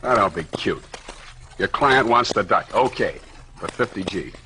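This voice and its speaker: An American actor playing a tough, cynical, wisecracking private detective in a 1940s radio drama, clipped and sardonic. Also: male voice, fairly low pitch, animated, unhurried, sharp tone, slight background noise. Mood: cool, sardonic.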